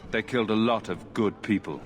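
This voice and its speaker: An actor playing a steady, dry-witted male adventurer hero in a game, firm and matter-of-fact. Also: fairly low pitch, a little fast, slight background noise, close to an everyday voice.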